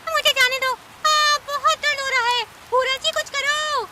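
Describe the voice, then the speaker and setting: A woman's voice: extremely high pitch, steady tone, a little slow, background noise. A woman voicing emphatic, dramatic female animal characters in a Hindi cartoon, a stern ant queen among them. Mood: distressed, scolding.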